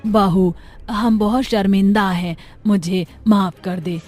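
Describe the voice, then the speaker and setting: A woman's voice: mid-range voice, fairly even intonation, takes it slowly, darkish tone, faint music behind. A woman voicing a frightened, remorseful mother-in-law in a cartoon horror story, pleading for forgiveness. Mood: ashamed, pleading.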